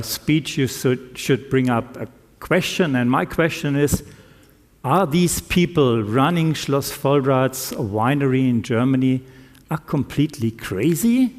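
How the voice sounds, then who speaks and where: A man who is not a native English speaker, giving a conference talk in a slow, deliberate way with self-deprecating humor. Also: medium pitch. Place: conference hall. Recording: reverberant conference hall.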